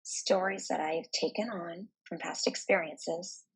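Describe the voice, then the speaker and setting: A woman narrating a guided meditation in a soft, soothing, deliberate manner with pauses between phrases. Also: fairly low pitch, steady tone, breathy edge, low-quality recording.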